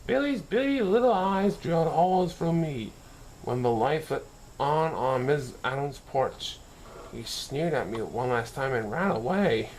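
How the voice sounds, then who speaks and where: A man's voice: on the high side, expressive, measured pace, a little mellow, slight background noise, low-quality recording. A man reading a children's story aloud as narration, now and then stumbling and correcting himself. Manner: occasional stumbles and self-corrections while reading. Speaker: story reader.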